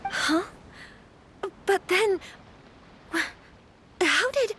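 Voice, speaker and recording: A woman's voice: very high voice, expressive, drawn-out words, bright, forceful tone, a little hiss or hum, heavily acted. A woman voicing a polite, earnest and troubled young noblewoman in a video game, speaking formally and hesitantly. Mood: surprised, unsure. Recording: music behind the voice. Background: background game music.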